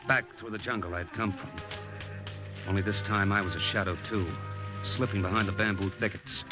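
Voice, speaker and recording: An actor playing a tough, wry young American agent, narrating and speaking in colloquial old-time radio drama style with an American accent. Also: male voice, on the low side, steady tone, brisk, close to an everyday voice. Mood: grim narrating. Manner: hard-boiled, colloquial storytelling. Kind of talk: 1940s-50s radio drama narration and dialogue. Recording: old-time radio recording with prominent music.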